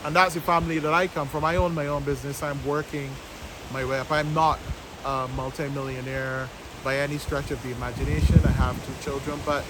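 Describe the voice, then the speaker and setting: Heavy wind and sea noise surrounds a man in his fifties with a slightly high voice. He explains things informally to camera from outdoors. His delivery is deliberate, broken into short phrases with pauses. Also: level tone. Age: 50s. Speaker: vlog host, business owner. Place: outdoors by the sea, west coast of Barbados. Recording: loud wind noise on microphone.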